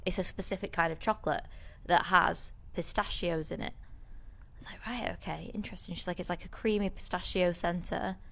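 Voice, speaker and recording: A woman's voice: lowish voice, fairly even intonation, normal speed, vocal fry, a bit bright. A woman co-hosting a podcast, telling a rambling story in casual chat, with many restarts. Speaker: podcast co-host.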